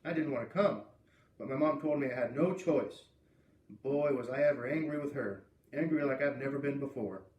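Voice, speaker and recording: Man as earnest bookish schoolgirl, gently confiding, children's storybook, roomy, distant mic. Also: mid-range voice, normal speed, muffled recording, natural voice. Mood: hurt, candid. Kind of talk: children's novel read-aloud. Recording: roomy, distant mic, faint background noise.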